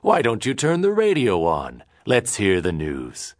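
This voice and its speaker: A man voicing a blustering, bigoted meat man who talks loudly and mockingly. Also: on the low side, huge pitch swings, a little slow, no room sound, clean studio sound, pitched above the performer's own voice. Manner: loud, mocking, boorish.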